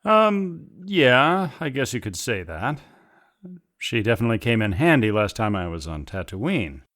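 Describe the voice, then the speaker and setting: A man plays a modest mercenary adventurer in an audio drama. His mid-pitched voice moves in a lively way, while his delivery stays polite, wary and understated. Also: a little slow. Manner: polite, plain-spoken, understated.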